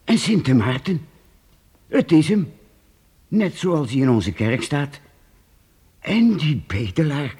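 A man playing a fussy, excitable village priest. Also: a little high, slow pace, long pauses, husky, long reverb tail, heavily acted. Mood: amazed. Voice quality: excitable, exclaiming. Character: kindly fussy middle-aged male priest. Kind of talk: radio drama acting.

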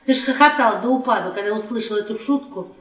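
An actress playing a brash, hard-drinking middle-aged wife who needles her husband in halting, repetitive phrases in a stage play. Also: on the high side, fairly bright tone, natural voice. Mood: teasing, singing. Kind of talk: Russian theatre radio play, naturalistic dialogue. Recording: echoey stage from far, audience behind.

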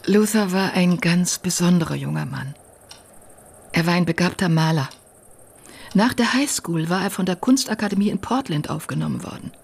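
An actress playing a subdued, wistful sister in a radio drama, husky, hesitant and deliberate. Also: female voice, on the low side, lively intonation, exaggerated voice. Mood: wistful, guarded.

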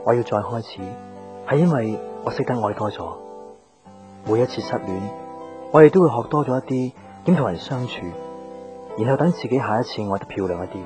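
A man's voice: mid-range voice, lively intonation, fairly quick, long pauses, lightly acted. An actor playing an earnest, devoted young man in a reflective radio drama monologue, in Hong Kong Cantonese. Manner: sincere, reflective. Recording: music under the voice. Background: guitar music.

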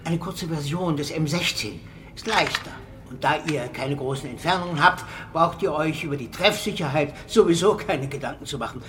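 A man's voice: a little high, normal speed, over music, close to an everyday voice. An actor playing a matter-of-fact, affable arms dealer who explains like a practical salesman in a radio crime drama. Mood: salesmanlike.

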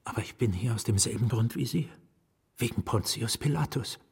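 An actor playing a middle-aged, secretive and wry asylum patient in a radio drama, probing and conspiratorial, often hushed and breathy. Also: male voice, mid-range voice, normal speed. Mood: grave, conspiratorial. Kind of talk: German radio drama acting.

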